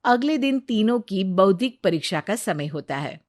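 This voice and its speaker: A woman's voice: mid-range voice, very animated, moderate pace, a little mellow. A woman narrating a scripted moral story.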